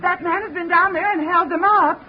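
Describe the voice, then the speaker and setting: A muffled, faintly noisy old radio recording carries a store clerk in a panic. The voice is extremely high, wailing and urgent, in vintage drama style. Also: female voice, steady tone, normal speed. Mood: panicked. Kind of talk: 1940s radio drama acting.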